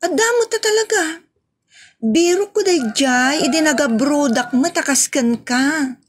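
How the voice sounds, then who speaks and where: A woman's voice: sits high, measured pace. A woman playing a wheedling, money-minded character in a comic audio drama, pleading as she speaks.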